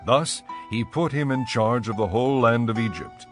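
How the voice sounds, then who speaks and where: A man reads scripture as a narrator with a measured, formal delivery. His pitch is average, and faint music plays behind the voice.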